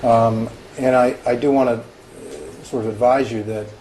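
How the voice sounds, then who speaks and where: A man questioning a witness in a formal deposition, halting and full of hesitations and restarts. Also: mid-range voice, steady tone, a little mellow. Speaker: examining attorney. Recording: echoey room with background noise.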